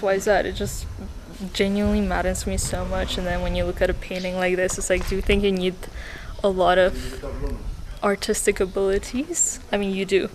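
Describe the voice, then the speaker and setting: A woman's voice: mid-range voice, a little hiss or hum, rough recording. A young woman from a post-Soviet country, a native Russian speaker, talking in a chatty and earnest way, full of "like" fillers, as she vlogs her travels. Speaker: travel vlogger. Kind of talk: casual vlog monologue. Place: outdoors and in shops and cafes on a trip. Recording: loud background music.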